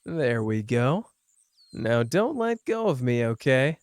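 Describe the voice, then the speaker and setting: Male actor as young anime sorcerer, slow delivery, mid-pitched, cocky, playful, soft-hearted, intimate ASMR roleplay. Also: sing-song, clear, resonant tone, no echo. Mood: playful, teasing.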